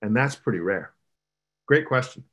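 A middle-aged man, a pastor, speaking emphatically in an animated panel discussion over a video call from his home. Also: mid-range voice, normal speed. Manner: emphatic preaching cadence, gestures broadly. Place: home room, indoors. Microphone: video call, webcam from below.

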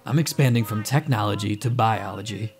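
An actor playing a cocky, smooth-talking inventor who teases and boasts in an audio drama. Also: male voice, on the low side, a little fast, soft background music, close to an everyday voice. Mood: boastful. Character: cocky, glib inventor and self-styled man of science.

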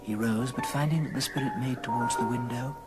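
A man narrating a story in an old radio drama, measured and theatrical. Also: medium pitch, steady tone. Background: music.

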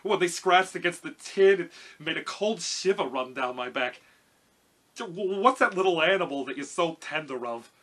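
Cowardly lion for a children's story, male reader, forceful bright tone, self-pitying and blustery. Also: on the high side, lively intonation, moderate pace, voice pushed up. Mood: curious, sheepish. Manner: plaintive, blustery.

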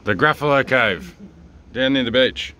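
A man's voice: mid-range voice, unhurried, heavy background noise. A man with an Australian accent, a father casually chatting and teasing his sons while filming outdoors on a handheld phone. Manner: relaxed, teasing, easygoing dad talk. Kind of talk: casual family vlog chat. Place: outdoors in seaside sandstone caves. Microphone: handheld phone camera.

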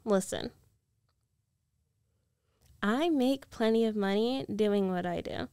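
A woman acts out a rich, domineering ex-girlfriend in a girlfriend ASMR roleplay. She speaks slowly and teasingly, sure of herself and possessive, in a very dry, close-sounding recording. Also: mid-range voice, dark tone, clean tone, no echo. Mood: insistent, possessive. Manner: slow, coaxing, self-assured, teasing.

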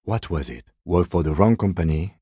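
A man playing a composed, by-the-book police detective in a video game, speaking in a measured, reserved, matter-of-fact way. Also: low voice, animated, dark tone, breathy edge, no room sound, clean studio sound. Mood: firm, restrained. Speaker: voice actor. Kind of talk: video game character dialogue.

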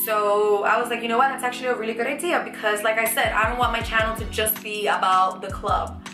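A young woman in her twenties, chatty and animated, answering viewer questions in a casual vlog from a small bedroom. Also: on the high side, fast talker, reverberant, music in the background. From United States. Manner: chatty, animated, lots of fillers and restarts. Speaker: vlogger. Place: small bedroom with shelves, indoors.

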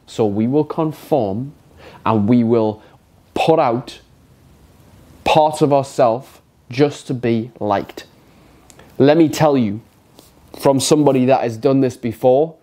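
Man in his thirties, stuffy nose, motivational talk to camera, frank, swears a lot, mellow dark tone. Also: mid-range voice. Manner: frank and emphatic, swears often. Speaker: motivational vlogger. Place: indoor studio with brick wall.

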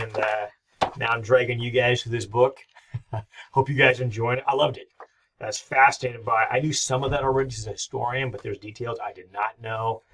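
A man's voice: mid-range voice, fairly bright tone, slight background noise. Rambling, enthusiastic male history lecturer. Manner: rambling, enthusiastic, many fillers. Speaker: history professor. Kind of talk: recorded online lecture. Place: home office with bookshelves.

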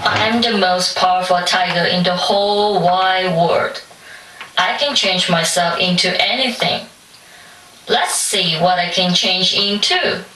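A woman's voice: lowish voice, sharp tone. A woman voicing a boastful, hungry tiger posing as a grand aunt in a theatrical puppet show. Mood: boastful, greedy. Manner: theatrical, slow and wheedling. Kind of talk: puppet show stage acting. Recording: echoey hall from far off, faint noise.

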